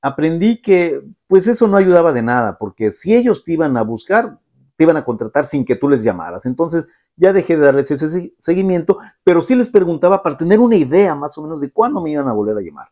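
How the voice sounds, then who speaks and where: A middle-aged man, telling his own story to the viewer in a reflective, halting way, with many filler sounds. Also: on the high side, very animated, mellow. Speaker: vlogger. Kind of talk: personal storytelling to camera.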